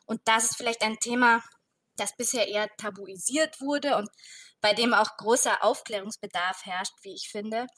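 A woman speaking as a jury member, giving earnest, considered commentary in deliberate phrases. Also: medium pitch, a bit bright.

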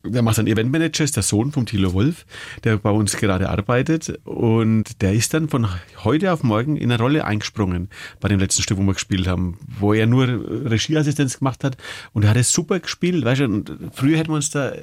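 A middle-aged man from Franconia with a Franconian German accent, a cabaret artist telling lively anecdotes as a guest, often adding "ne?". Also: medium pitch, fairly quick, no room sound, studio quality. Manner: storytelling, lively, fillers like "ne?". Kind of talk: anecdotal interview chat.

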